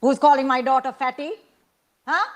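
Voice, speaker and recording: Lady doing an angry bossy auntie from a storybook, voice jumps up high, sugary but menacing. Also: normal speed, natural voice. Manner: imperious, interrogating. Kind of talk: dramatic literary reading.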